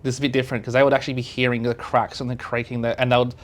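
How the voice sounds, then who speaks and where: A man's voice: medium pitch, little pitch movement, racing. Rambling Australian man hosting a podcast. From South Australia. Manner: rambling, full of fillers and restarts. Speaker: podcast host. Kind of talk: casual conversational interview.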